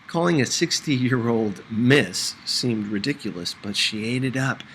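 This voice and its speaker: A man's voice: mid-range voice, fairly bright tone, a little hiss or hum, low-quality recording. A man narrating a first-person novel as an audiobook reader, in a scripted storytelling style.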